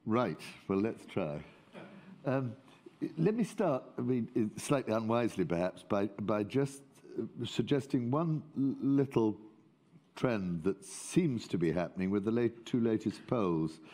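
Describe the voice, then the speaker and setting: Man, panel expert, very low voice, mellow tone, measured analytical delivery. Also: lively intonation. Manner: measured, analytical, occasional restarts. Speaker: panel speaker.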